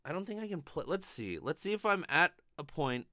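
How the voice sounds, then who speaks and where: A man's voice: fairly high pitch, expressive, fairly quick, sharp tone. A man in his thirties chatting casually to viewers in a rambling, self-deprecating way with plenty of swearing, close on a studio mic in a bedroom. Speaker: vlogger and amateur ukulele player. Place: bedroom, indoors. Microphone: studio condenser mic up close.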